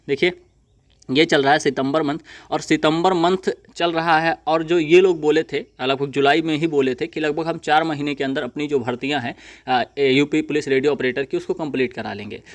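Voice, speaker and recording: A young man gives an informal update to viewers, explaining in a halting, repetitive way. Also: on the high side, dry recording. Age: young adult. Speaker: exam update video presenter.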